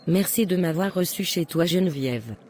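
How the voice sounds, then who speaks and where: A woman's voice: fairly low pitch, lively intonation, moderate pace, darkish tone, lightly acted. A woman playing a meddling, self-satisfied middle-aged mother in a cartoon, with a patronising manner. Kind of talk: cartoon voice acting. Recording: faint background noise.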